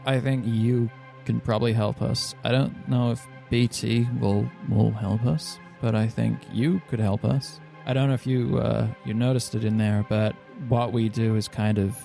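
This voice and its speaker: A man's voice: medium pitch, little pitch movement, moderate pace, vocal fry, hardly any pauses, mellow, soft background music. A man playing a tabletop role-playing game, talking casually and speaking for his character. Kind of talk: casual in-game talk and roleplay.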